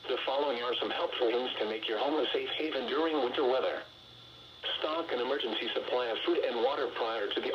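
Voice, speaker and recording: Through loud static on a weather radio broadcast comes a man's synthesized announcer voice at a middling pitch. He reads scripted safety guidance in a measured, impersonal way. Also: lo-fi sound.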